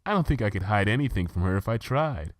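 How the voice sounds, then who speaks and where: A man's voice: lowish voice, expressive, fast talker, no room sound, studio quality, natural voice. A man voicing an earnest, easily embarrassed young female unicorn with a hesitant, awkward way of talking. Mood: fond, earnest.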